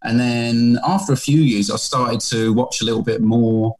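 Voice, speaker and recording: A man's voice: medium pitch, steady tone, normal speed, a little mellow. A British man giving an interview as a painter, speaking enthusiastically and rambling with repeated words. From Britain. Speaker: guest, painter. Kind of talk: interview answers.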